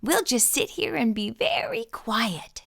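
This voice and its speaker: A woman reads a picture book aloud for children in a high, bright voice. Her pitch moves in a lively way as she talks straight to her young viewers, often with questions. She is expressive throughout, as a storytime host. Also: measured pace, creaky.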